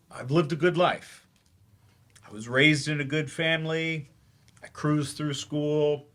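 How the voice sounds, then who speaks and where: A man playing a weary, regretful novelist turned teacher in a staged play reading, halting, self-deprecating and confessional. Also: on the high side, fairly bright tone. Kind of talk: staged dramatic monologue.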